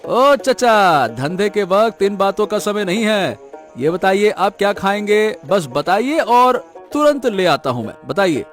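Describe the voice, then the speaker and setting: Man playing a high-voiced, brusque eatery owner. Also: expressive, a little slow, faint music behind. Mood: hurried. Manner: brusque, hurrying, nagging. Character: rude, impatient, arrogant male village eatery owner. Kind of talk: Hindi cartoon story dialogue.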